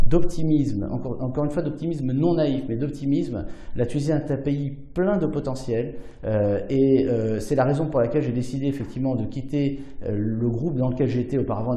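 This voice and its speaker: A French businessman in his forties speaks earnestly to the camera, pausing often with fillers as he makes his case. His voice is dark and mellow, of middling pitch. The small room around him gives a noticeable echo. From France. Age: 40s. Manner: earnest, persuasive, many fillers, gestures with hands. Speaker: business leader. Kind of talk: direct-to-camera video address. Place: small indoor studio against plain backdrop.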